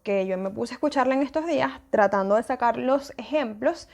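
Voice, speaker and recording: A woman's voice: fairly high pitch, fairly quick, gravelly, darkish tone. A woman with a Venezuelan Spanish accent, hosting a podcast and talking in a thoughtful, casual way, with many fillers and restarts. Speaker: podcast host. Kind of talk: casual solo podcast talk.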